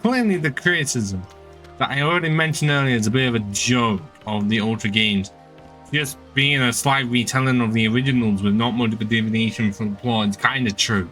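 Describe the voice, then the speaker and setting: A man with a mid-pitched voice gives casual commentary as he streams a video game. He comes across as exasperated and sardonic, picking at details, with faint music playing behind him. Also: fairly quick, a bit bright. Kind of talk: casual game commentary with dialogue read aloud.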